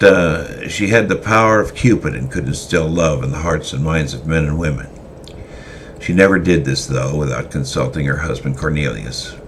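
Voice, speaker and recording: A man reading a story aloud in an unhurried way, with frequent hesitant fillers, over faint background noise. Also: rumbling low, gravelly. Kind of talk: semi-dramatic story reading.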